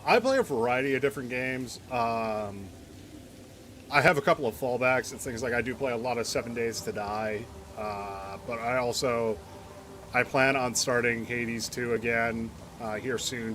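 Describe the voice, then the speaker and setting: A man's voice: medium pitch, moderate pace, a bit bright, slight background noise. A man chatting casually as a game streamer, in an informal, rambling way. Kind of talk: casual live stream chat and reactions.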